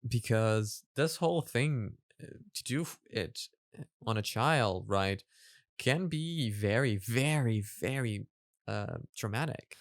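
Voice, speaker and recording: A man hosting a reaction video, giving casual commentary in a halting way as he rephrases his explanations. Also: medium pitch, expressive, no echo, clean studio sound.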